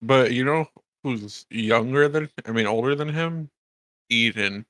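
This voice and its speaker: A man's voice: mid-range voice, unhurried, fairly bright tone, no echo. A man in his mid-twenties, a podcast guest trading casual, teasing banter with friends. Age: mid 20s.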